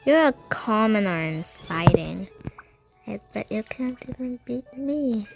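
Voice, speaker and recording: A woman's voice: on the high side, expressive, slow, creaky. A woman giving casual, informal muttered reactions as she plays a video game, with game music behind her. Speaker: gaming video creator. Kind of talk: casual reactions over gameplay.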